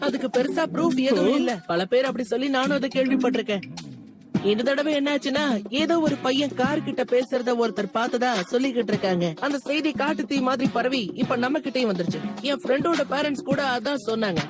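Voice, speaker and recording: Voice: high-pitched, fast, over loud music, natural voice. An actor voicing a matter-of-fact, skeptical school kid who explains things in a cartoon dub. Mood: explaining. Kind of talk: animated cartoon dub acting.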